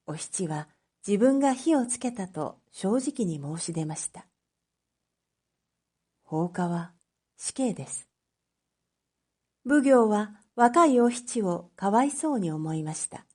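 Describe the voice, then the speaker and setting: A woman with a fairly high, very mellow voice narrates a story. She reads at a slow pace, phrasing each line carefully, as a teacher does for language learners. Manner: careful, clearly phrased reading. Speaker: teacher narrating read-aloud material.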